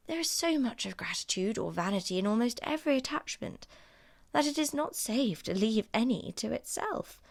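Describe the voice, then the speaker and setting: A woman voicing a pragmatic, guarded young female friend in an audiobook novel, measured and coolly reasoning. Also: fairly high pitch, voice pushed up. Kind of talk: audiobook character dialogue.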